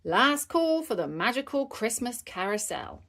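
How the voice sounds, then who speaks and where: A woman plays a brisk, cheerful lady rabbit in a storybook. She calls out like a fairground attendant, with bouncy, animated pitch. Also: creaky, exaggerated voice. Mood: announcing. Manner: announcing, calling out. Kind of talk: children's storybook character reading.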